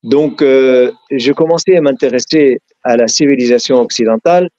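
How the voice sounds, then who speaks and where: Middle-aged Syrian man, Arabic accent, earnest interviewee, mid pitch, halting restarts, slightly muffled audio. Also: dark tone. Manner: frequent restarts and hesitations, earnest. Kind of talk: casual storytelling interview.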